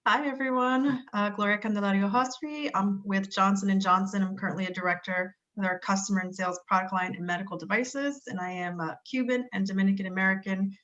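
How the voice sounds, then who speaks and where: A woman from New Jersey introducing herself on a video call, brisk and friendly. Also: medium pitch, fairly even intonation, fairly bright tone. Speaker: company director. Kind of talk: casual self-introduction. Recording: dull call audio.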